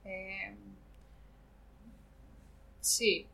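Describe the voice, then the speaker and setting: A woman's voice: medium pitch, little pitch movement, drawn-out words, creaky, sharp tone, rough recording. A Venezuelan woman from Margarita Island answering interview questions in a reflective way, often searching for words. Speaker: interview guest. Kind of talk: conversational interview.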